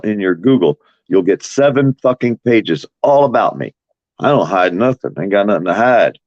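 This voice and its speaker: A blunt, foul-mouthed man with a drawl rants to his livestream chat. His voice sits on the low side, and the stream audio is dry and dull, with little room sound. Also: expressive, moderate pace, a little mellow, no echo. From Florida. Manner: blunt, cursing, combative. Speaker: livestream host.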